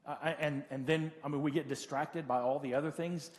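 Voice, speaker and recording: A man in his late forties preaching a conversational sermon as a pastor, giving practical advice in a halting way and repeating phrases for emphasis. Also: fairly high pitch, steady tone. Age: late 40s. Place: church. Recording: hall reverb of a large room.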